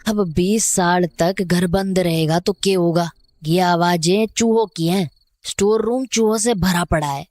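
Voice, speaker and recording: An older village caretaker woman played by an actress in a horror audio drama, wary and cautioning. She talks slowly in a rural North Indian Hindi dialect, fairly low in pitch, in a close, dry recording. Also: darkish tone, no echo, close to an everyday voice. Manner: folksy, cautioning.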